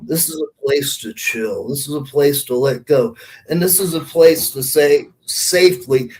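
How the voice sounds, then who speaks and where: A man's voice: on the high side, unhurried, poor audio. A man hosting a podcast in a casual, heartfelt way, swearing freely and talking to listeners like friends. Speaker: podcast host. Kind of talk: casual heartfelt monologue and chat.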